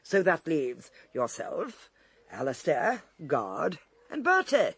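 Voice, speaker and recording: An actress playing a shrewd, eccentric older British lady detective in a radio drama, theatrical, deliberate and drily amused. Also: deep voice, wildly swooping pitch, slightly rough, no room sound, full character voice. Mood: assured, probing.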